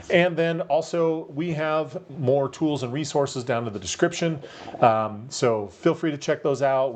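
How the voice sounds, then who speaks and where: Guy with a radio-type voice pitching marketing tips to camera, chatty, lots of ums. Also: a little mellow. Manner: upbeat, persuasive, many fillers. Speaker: marketing coach for real estate agents. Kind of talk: casual talk to camera.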